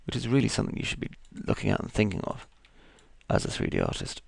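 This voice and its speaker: Croaky male tutor, deep gravelly voice, dry close mic, lively delivery. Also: fast, no room sound. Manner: casual, talks through each step as he works. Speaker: 3D modeling tutor. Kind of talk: screen-recorded software tutorial.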